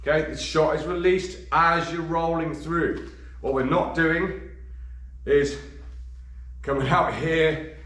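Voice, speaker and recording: Man, boxing coach, slightly croaky, fairly high voice, echoey gym. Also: fairly bright tone, recorded from a distance. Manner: demonstrates moves while talking, counting punches. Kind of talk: instructional demonstration.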